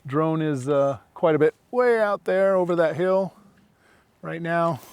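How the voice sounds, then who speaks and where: A man's voice: a little high, unhurried, darkish tone, clear, resonant tone, no echo. A middle-aged man giving casual running commentary as he hosts a gear demonstration outdoors. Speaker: drone pilot and video host. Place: outdoors in open dry hills.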